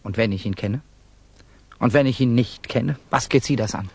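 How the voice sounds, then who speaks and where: A young Russian revolutionary student in a vintage radio play. He is guarded and evasive, firing short, suspicious questions in a medium-pitched voice. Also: normal speed, gravelly, slightly rough, no echo. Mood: suspicious, sharp. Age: young adult. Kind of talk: 1960s German radio drama acting.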